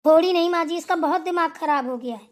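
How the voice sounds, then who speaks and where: Woman acting whiny, piercingly high young sister-in-law. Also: fast talker. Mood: aggrieved. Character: tattling, indignant elder daughter-in-law, young and catty. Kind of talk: amateur Hindi family cartoon dialogue.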